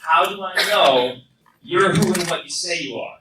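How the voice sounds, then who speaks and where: A man's voice: fairly high pitch, moderate pace, background noise, natural voice. An actor playing cocky, wisecracking teenage boy superheroes with a glib, bantering manner in a radio play. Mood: skeptical, wisecracking. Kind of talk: radio play acting before a live audience. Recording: very echoey hall fan recording, distant.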